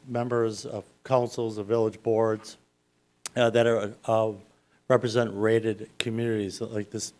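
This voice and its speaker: A man with a loud voice, working as a municipal finance advisor, walks a city council through a bond presentation step by step in a council chamber. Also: mid-range voice.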